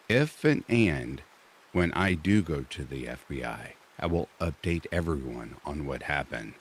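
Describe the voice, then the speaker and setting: Man with a very deep baritone. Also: a little hiss or hum. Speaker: guest narrator. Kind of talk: casual chat.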